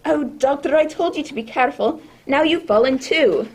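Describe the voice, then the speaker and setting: A woman playing a fussy, scolding housekeeper with a creaky, husky voice in an amateur radio drama. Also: high-pitched, moderate pace. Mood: fretful.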